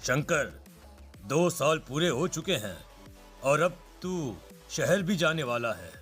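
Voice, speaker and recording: A man's voice: a little high, sing-song, slow pace, a bit bright, soft background music, exaggerated voice. A man playing a middle-aged village father and family friend in a cartoon story. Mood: friendly, earnest.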